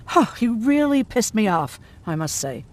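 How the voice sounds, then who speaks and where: An actor playing a blunt, sardonic, weary survivor of a machine war in a video game. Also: male voice, high voice, very animated, moderate pace. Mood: irritated.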